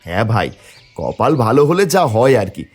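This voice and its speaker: Man as a lively, shrewd cartoon villager. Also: on the low side, fairly quick, slightly rough, faint music behind. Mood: pleased. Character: shrewd, watchful village man, a folksy farmer. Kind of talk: cartoon voice acting.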